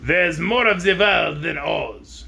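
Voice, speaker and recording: A man's voice: high voice, animated, slow pace, vocal fry, bright, forceful tone, full character voice. A man voicing a stubborn little male crab in a storybook reading, with a mocking, obstinate manner.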